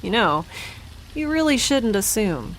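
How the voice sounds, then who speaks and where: Injured woman scientist for audio drama: halting, defiant, slow-paced, faint background ambience. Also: fairly high pitch, big pitch swings, slight background noise, low-quality recording. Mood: subdued, pained. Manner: halting, rambling, defensive. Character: injured, stubborn, rambling woman scientist, dazed but defiant. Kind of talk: naturalistic audio drama acting.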